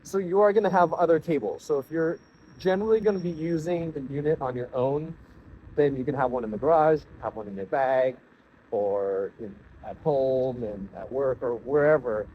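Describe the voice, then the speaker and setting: A man's voice: medium pitch, moderate pace, highs cut off, slight background noise. A man giving a casual, unscripted product review, thinking aloud in a conversational way as he goes.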